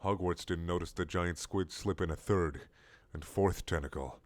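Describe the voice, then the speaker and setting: A man narrating comic fiction from a script in a theatrical, measured, storytelling way. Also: deep voice. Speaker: narrator reading a fan story.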